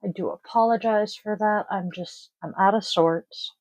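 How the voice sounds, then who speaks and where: A woman's voice: mid-range voice, normal speed, darkish tone, no room sound, lo-fi sound. A middle-aged woman from Washington State hosting a casual tarot livestream, tired and congested, rambling and trailing off with sighs. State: congested, sniffly. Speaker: tarot reader and livestream host.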